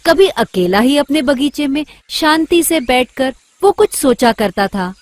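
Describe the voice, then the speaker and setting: A woman narrating a scripted children's story in a measured, expressive storytelling manner. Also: on the high side. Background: music behind the voice.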